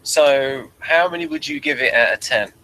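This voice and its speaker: A man hosting a casual review discussion, making his points in a measured, analytical way. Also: mid-range voice, huge pitch swings, creaky, sharp tone, rough recording. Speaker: host asking for a rating.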